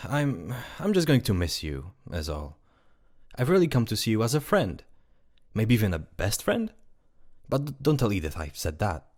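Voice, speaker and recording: A man's voice: fairly low pitch, very animated, normal speed, darkish tone. A man playing a shy, hesitant, friendly afterlife guide in an audio drama. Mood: bashful.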